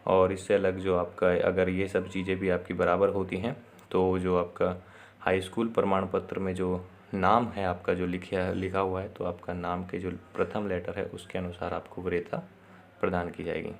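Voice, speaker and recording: A man giving an informal instructional explainer, addressing viewers directly and often pausing on short confirmations. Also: sits low, monotone, vocal fry, a little hiss or hum. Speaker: presenter of an exam and job information video.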